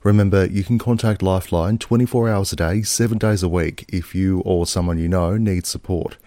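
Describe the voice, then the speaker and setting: A man reading a scripted podcast intro and content warning in a smooth, polished delivery. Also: deep voice, steady tone, normal speed, mellow, dry recording. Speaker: podcast announcer.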